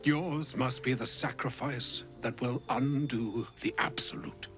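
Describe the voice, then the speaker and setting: A man's voice: medium pitch, steady tone, fairly bright tone, faint music behind, lightly acted. An actor playing an ancient, gluttonous wizard in a video game, an old man with a florid, pompous, theatrical way of talking. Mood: grave, solemn. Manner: pompous, florid, wordy, halting when uneasy. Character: ancient, gluttonous, grandiloquent old wizard, grave yet fussy.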